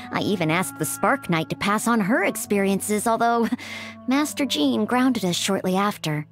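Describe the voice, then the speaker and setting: A woman's voice: mid-range voice, animated, measured pace. A woman voicing a humble, earnest young maid and aspiring knight in a video game, polite, formal and hesitant. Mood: polite, eager. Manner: formal, hesitant, sweetly apologetic. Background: game music.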